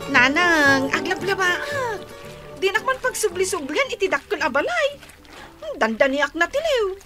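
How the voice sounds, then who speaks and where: A woman acting an excitable, teasing young daughter in an Ilocano radio drama, speaking with an Ilocano accent. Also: very high-pitched, measured pace, music in the background, full character voice. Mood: complaining. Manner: exclamatory, teasing. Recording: AM radio drama with background noise.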